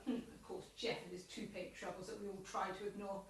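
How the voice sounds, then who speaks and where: A woman's voice: medium pitch, flat delivery, fairly quick, close to an everyday voice. A woman playing a prim, bossy, officious tennis club chair in an amateur comedy radio play. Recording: roomy, echoey, distant home recording.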